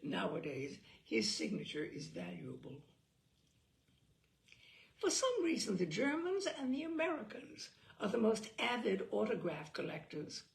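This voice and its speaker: A woman host reading a humorous literary essay aloud with theatrical, deliberate, carefully phrased delivery. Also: mid-range voice, gravelly. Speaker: host and reader. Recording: roomy echo, distant microphone.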